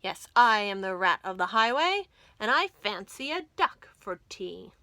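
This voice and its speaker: A woman voicing a greedy, menacing male rat highway robber in a children's storybook, boastful and bossy. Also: big pitch swings, measured pace, bright, forceful tone, no room sound, full character voice. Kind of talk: children's storybook read-aloud.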